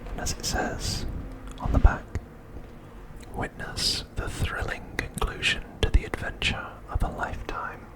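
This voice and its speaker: A man hosting an ASMR video, speaking in a hushed, deliberate whisper. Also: poor audio. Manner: hushed, slow, deliberate delivery.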